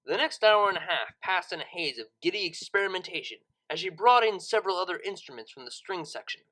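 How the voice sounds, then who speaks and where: A narrator reading a scripted story audiobook in an expressive, dramatic storytelling manner. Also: mid-range voice, quick pace, rough recording.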